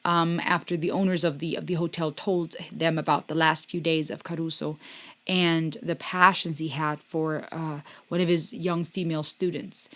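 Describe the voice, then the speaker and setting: A middle-aged woman hosting a music reaction, talking casually and warmly, often pausing with ums to search for words. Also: low-pitched, level tone. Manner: warm, gushing, searching for words with many ums.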